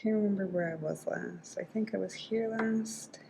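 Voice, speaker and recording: A woman with a mid-range voice talks informally to camera as a book vlogger. She is chatty and thinks aloud as she goes. There is clear background noise and room ambience around her voice. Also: medium pitch, normal speed. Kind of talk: casual chat to camera while playing a reading-list game.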